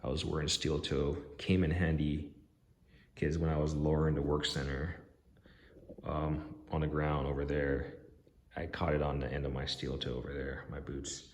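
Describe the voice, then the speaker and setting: A man with a very low, deep voice talks loosely through his plans, pausing on ums and restarting his sentences. His voice is hoarse and fading. The phone recording has roomy garage echo and a faint hiss behind it. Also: flat delivery. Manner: halting, lots of ums and restarts. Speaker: DIY garage vlogger. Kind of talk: casual rambling walkthrough. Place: home garage. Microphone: handheld phone camera.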